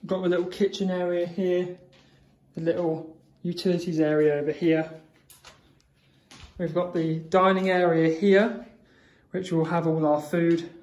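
A man's voice: a little high, little pitch movement, a little slow, long pauses, a little mellow, poor audio. A young man talks his way through a cottage tour, chatty and a little meandering, with fillers and small restarts. He films on a handheld phone as he walks indoors from room to room. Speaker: vlogger. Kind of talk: casual house tour. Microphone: phone camera held in hand.

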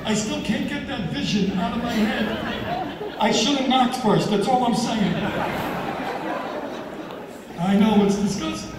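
A man in his sixties doing a stand-up comedy routine on a theater stage, with a deadpan, self-mocking delivery. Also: extremely high pitch, unhurried, pauses often, background noise. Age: 60s. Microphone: handheld mic, filmed from far in the audience. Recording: echoey hall with crowd, from far off. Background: audience laughter and applause.

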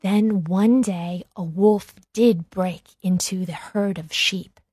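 A woman narrating a children's read-along storybook with careful, deliberate phrasing. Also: medium pitch, steady tone, darkish tone, husky, no echo.

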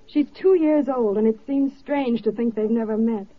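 An actress playing a relieved, tender soldier's wife in a 1940s radio drama. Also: female voice, fairly high pitch, normal speed, close to an everyday voice. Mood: tender relief. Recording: muffled 1940s radio recording.

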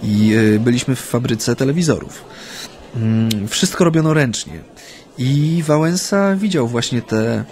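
An actor in a radio play playing a former union activist who recounts his memories in a hesitant, halting way. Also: mid-range voice, darkish tone. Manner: hesitant, halting, frequent drawn-out hums. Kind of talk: radio play acting, interview-like recollection.

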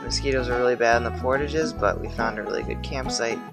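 A man's voice: medium pitch, fast, gravelly, over loud music. A young man chatting casually to a handheld camera as a travel vlogger out camping. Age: young adult. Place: outdoors in woods and inside a tent. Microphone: handheld action camera at arm's length.

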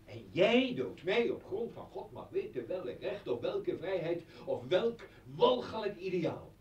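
A man's voice: high voice, very animated, measured pace, lo-fi sound. An actor playing a disdainful liberal intellectual man in a radio play, defiant, accusing and indignant. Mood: bitter, accusing. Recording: echoey room, distant from mic.

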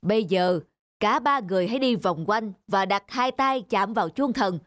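A woman voicing a stern, shrewd male magistrate in a cartoon folk tale, speaking in a measured, formal, authoritative way. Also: mid-range voice, a little hiss or hum, lightly acted. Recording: music behind the voice.

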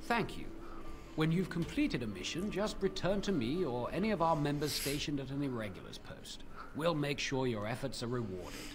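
An actor playing an earnest, courteous mercenary representative in a fantasy video game, speaking formally and with measured phrasing. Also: male voice, a little high, big pitch swings. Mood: earnest, respectful. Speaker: non-player quest-giver in a fantasy video game. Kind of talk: video game dialogue acting. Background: game music.

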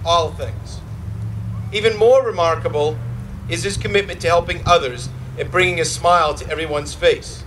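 A middle-aged man at an outdoor graduation podium gives a measured, formal introduction of the student speaker. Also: on the high side. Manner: measured, warmly formal praise. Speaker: school official introducing the speaker. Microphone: podium mic filmed from a far camera. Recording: distant outdoor recording, faint noise.

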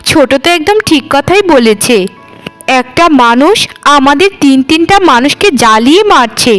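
A woman's voice: high voice, animated, normal speed, fairly bright tone, faint music behind, low-quality recording. A woman playing a long-suffering middle sister-in-law who speaks plaintively in scripted cartoon dialogue. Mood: aggrieved.

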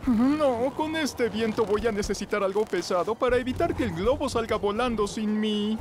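A man's voice: high voice, moderate pace, noisy background, close to an everyday voice. A man voicing a genial, eccentric adult male vehicle in a children's cartoon, with theatrical delivery. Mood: cheerful.

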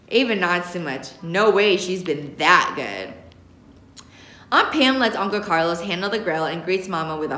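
A woman's voice: on the low side, bright, forceful tone. An American woman reads a novel aloud expressively, recorded in a roomy classroom. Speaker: reader, teacher. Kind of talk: expressive read-aloud of a young adult novel. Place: indoor classroom. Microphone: camera a short distance away.

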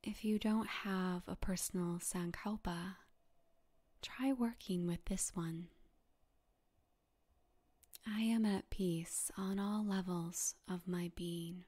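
Slow, soft-spoken woman guiding meditation. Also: lowish voice. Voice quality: soft, breathy, half-whispered. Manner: calm, soothing, gently instructing with long pauses. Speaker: yoga nidra meditation guide. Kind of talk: guided meditation narration.

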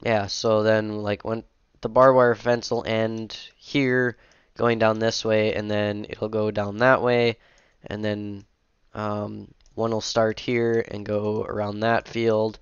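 A man's voice: on the low side, flat delivery, slow, clean tone, no room sound, dull audio. A young man with a cold and a sniffly voice, casually rambling through a commentary as he edits a game map, with lots of fillers and self-corrections. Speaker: modding streamer. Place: home room at a computer. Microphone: headset.

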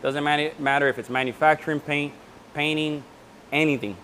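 A man's voice: fairly high pitch, fairly even intonation, fairly quick, fairly bright tone, a little hiss or hum. A man in his thirties hosting a custom paint class, talking loosely and joking, often trailing off mid-sentence, on a lapel mic indoors. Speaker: host and paint maker. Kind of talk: casual workshop teaching. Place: indoor event room before a backdrop.

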